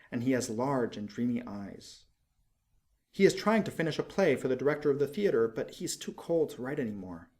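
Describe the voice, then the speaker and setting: A man playing a gentle, sorrowful statue of a prince in a storybook, speaking in a slow, solemn, pleading way. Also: medium pitch, lightly acted.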